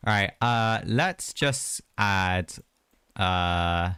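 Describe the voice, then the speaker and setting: Low-voiced man casually streaming a game. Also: drawn-out words, sharp tone, clear, resonant tone, dry recording. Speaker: game livestreamer. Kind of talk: casual live game commentary.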